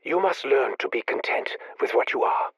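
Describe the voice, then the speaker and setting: Sad obsessed scientist recording his logs, breathy, dry close sound, kinda muffled. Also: medium pitch, lively intonation, no echo. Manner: measured, brooding and confessional. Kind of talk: video game audio log narration.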